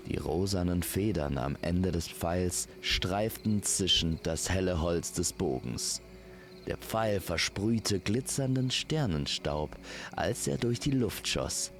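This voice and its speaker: Deep, slow-spoken male storyteller. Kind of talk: scripted fairy-tale narration.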